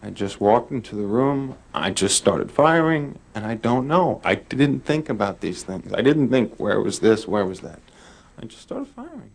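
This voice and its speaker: A man giving courtroom testimony as a witness, halting and repeating his phrases. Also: on the low side, very animated, vocal fry.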